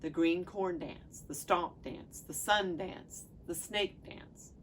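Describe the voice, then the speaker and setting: A woman pastor reading aloud from a devotional book in a measured, deliberate way. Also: lowish voice, a little hiss or hum, rough recording.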